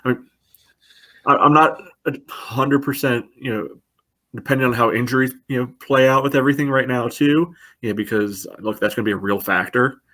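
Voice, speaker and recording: A man casually analysing sport as a podcast host, in a halting way peppered with filler phrases. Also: mid-range voice, fairly bright tone. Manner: halting, repeatedly peppers speech with "you know".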